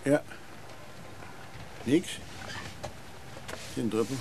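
A man's voice: mid-range voice, very slow, creaky, darkish tone. An actor playing a grumbling, down-at-heel boat owner in a radio drama, muttering to himself as he coaxes a stubborn engine. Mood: resigned. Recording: noisy radio play boat scene. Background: boat sounds and engine noise.

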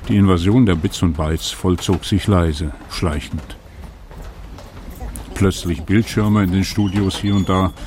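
A man's voice: low voice, steady tone, measured pace, darkish tone, slight background noise. A man narrating a personal radio documentary in a reflective, wry, conversational way. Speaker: radio feature author and narrator.

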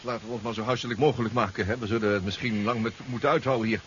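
A man's voice: fairly low pitch, steady tone, rapid-fire, fairly bright tone, natural voice. A man acting a genial, fussy professor in a 1970s radio drama, stammering and repeating himself. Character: genial male professor, polite, fussy, eager to be informal. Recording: muffled 1970s radio recording, faint hiss.

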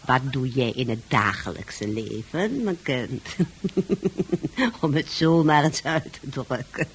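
A woman's voice: a bass voice, animated, full character voice. An actress playing a haughty, domineering woman who styles herself a countess, speaking in a condescending, imperious way in a radio play. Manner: imperious, condescending, slow and grand. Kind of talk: Dutch radio play acting. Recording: noisy old radio drama recording.

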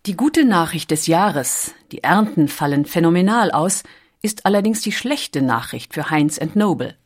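Lady reading a documentary audiobook, slightly low voice, measured and articulate. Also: animated, husky. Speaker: narrator. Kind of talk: scripted documentary audiobook narration.

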